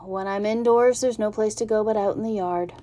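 A woman's voice: mid-range voice, fairly even intonation, fairly quick, warm tone, close to an everyday voice. A woman voicing a young male pig who sounds lonely, wide-eyed and plaintive. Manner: plaintive and questioning.

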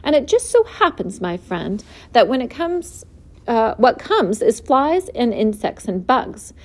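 A thin, pleasant storybook spider, clever and bold, as a woman reading aloud puts her on. She speaks briskly and with assurance, her pitch set high and swinging widely. Her tone stays mellow rather than sharp. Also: sing-song, heavily acted. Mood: assured. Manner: brisk and self-assured, lecturing. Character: clever, bold female spider, matter-of-fact.

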